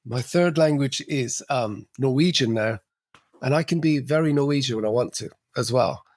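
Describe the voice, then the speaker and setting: A man's voice: medium pitch, moderate pace, dry recording. A middle-aged man speaks in a mid-range voice. He talks in a loose, reflective way, often restarting phrases and leaning on "actually." The sound is close and dry, with no room around it. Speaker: podcast guest, cultural coach. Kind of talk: casual interview conversation.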